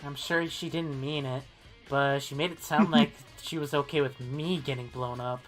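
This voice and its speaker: A woman's voice: a bass voice, steady tone, moderate pace, soft background music. A woman voicing an earnest, uneasy teenage schoolboy who narrates an amateur mystery game dub.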